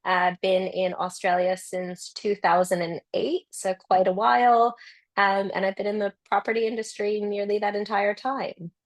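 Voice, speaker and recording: A woman from the New York area introduces herself over a video call, quick and friendly. Her voice is mid-pitched and sounds close and dry, with no room around it. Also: fairly even intonation, dry recording. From New York area, USA. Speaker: property professional. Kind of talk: casual guest introduction.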